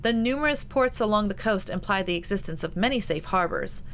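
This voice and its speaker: A woman's voice: mid-range voice, animated, fairly quick, a bit bright. A woman voicing a sensible, strong-willed, bookish young lady with a firm, proper manner. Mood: earnest. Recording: noticeable background noise.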